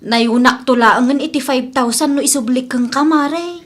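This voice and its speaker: A woman playing an eager, pleading young daughter in an Ilocano radio drama, talking in a lively, reassuring way. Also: high-pitched, moderate pace. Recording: music behind the voice.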